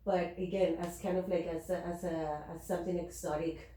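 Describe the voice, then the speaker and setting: A woman from Chile speaking at a panel discussion, thoughtful and halting with many fillers and restarts. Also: fairly low pitch, little pitch movement, warm tone. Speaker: panelist, activist and educator. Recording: echoey room.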